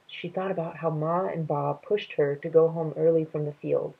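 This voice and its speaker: A woman reading a children's story aloud in an expressive, unhurried way, as if to young listeners. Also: deep voice, husky, narrow, tinny audio. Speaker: read-aloud reader.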